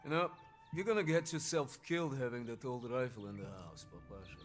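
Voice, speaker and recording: An actor playing a cocky, bantering young soldier with a Russian accent in a cinematic war game. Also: male voice, mid-range voice, animated, a little fast, faint music behind, natural voice. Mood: playful. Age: young adult. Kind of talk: cinematic video game acting.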